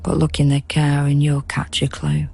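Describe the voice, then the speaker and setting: An actress playing a knowing, unsparing narrator in a poetic radio drama, measured and wry. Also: female voice, deep voice, completely flat delivery, creaky, warm tone, breathy edge, heavily acted. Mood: wry challenge.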